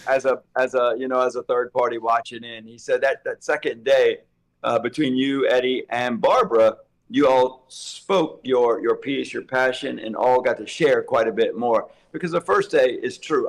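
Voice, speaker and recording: A slightly hoarse man co-hosting a relaxed chat. His pitch sits in a middling range, and he rambles, piling up thoughts as they come. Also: moderate pace, low-quality recording. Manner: rambling, thinks aloud. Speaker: co-host. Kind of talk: casual chat.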